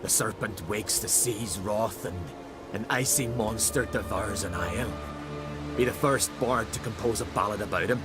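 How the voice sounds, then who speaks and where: A man's voice: on the low side, normal speed, over loud music, lightly acted. A man voicing a dreamy, obsessive island bard in a video game, earnest and old-fashioned in his phrasing. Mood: excited, dreamy.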